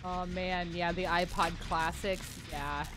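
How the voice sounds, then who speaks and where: A woman's voice: lowish voice, fairly even intonation, takes it slowly, music in the background. A woman game streamer chatting casually during play. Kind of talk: casual gaming stream chat.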